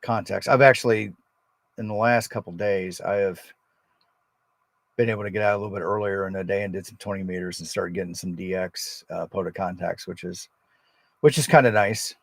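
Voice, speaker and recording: A man with a low American voice and a creaky, gravelly edge. He talks casually and rambles a little, like a radio hobbyist hosting his own relaxed livestream. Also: a little fast, no echo. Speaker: amateur radio operator and livestream host. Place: outdoors at a state forest park.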